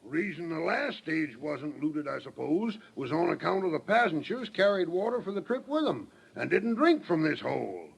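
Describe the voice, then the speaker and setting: Gruff American frontiersman, blunt, high-pitched yet gravelly and husky, very animated; 1930s radio Western. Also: normal speed, few pauses, heavily acted. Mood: curious, gruff. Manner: blunt, folksy. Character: gruff frontier stage-line man, stern and rough.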